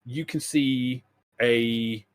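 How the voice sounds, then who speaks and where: A man narrating a tabletop role-playing game as game master, describing scenes in a halting, self-correcting way. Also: medium pitch, fairly even intonation, dry recording.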